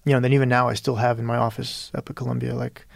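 A man's voice: medium pitch, level tone, racing, vocal fry, no room sound. A man from Peru talking as a writer in an interview, thoughtful and searching, often restarting and adding "you know". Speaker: guest writer. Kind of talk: reflective interview conversation.

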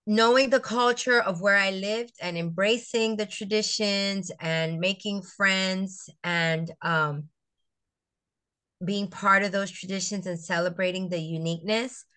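Lowish voiced middle-aged woman from st croix, telling her story slow and thoughtful, lots of ums. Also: a bit bright. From US Virgin Islands (St. Croix). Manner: reflective, storytelling, with frequent fillers and restarts. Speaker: guest, educator and business founder. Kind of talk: conversational interview.

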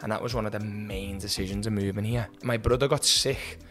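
A man's voice: lowish voice, fairly even intonation, a little fast, a bit bright, faint music behind. A man in his thirties from England with a Liverpool accent, chatting casually and rambling a little as a podcast guest. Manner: chatty, rambling, laughs often. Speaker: podcast guest, former student. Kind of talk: casual interview chat.

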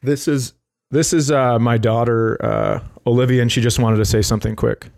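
A man's voice: medium pitch, steady tone, normal speed, creaky, darkish tone. A middle-aged man, a comedy podcast co-host, telling stories casually in a rambling, jokey way. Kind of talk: casual comic storytelling.

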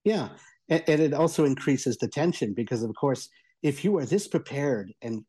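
Mellow-voiced man giving a halting review. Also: medium pitch, animated, husky. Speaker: guest reviewer. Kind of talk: conversational review discussion.

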